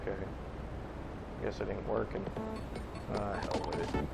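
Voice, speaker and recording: A man's voice: lowish voice, a little slow, vocal fry, rough recording. A man giving casual, rambling gameplay commentary as a channel host, grumbling and swearing in an offhand way, with game audio behind him. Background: loud retro video game music and sounds.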